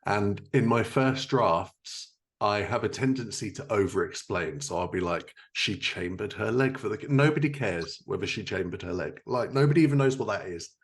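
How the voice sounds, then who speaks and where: British man, low slightly creaky voice. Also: expressive, moderate pace, few pauses. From Britain. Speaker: podcast host, fiction writer. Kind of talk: casual, joking interview chat.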